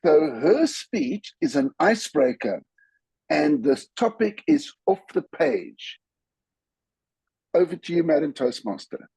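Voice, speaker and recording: Slow, husky man with a lively pitch. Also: no room sound. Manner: enthusiastic, admiring. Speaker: speech evaluator. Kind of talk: meeting remarks.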